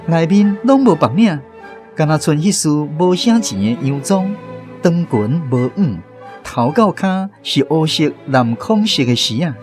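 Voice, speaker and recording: A man with a Taiwanese accent narrating a literary story in an expressive, dramatic reading. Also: on the high side. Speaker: narrator reading fiction aloud. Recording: music playing behind the voice. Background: music.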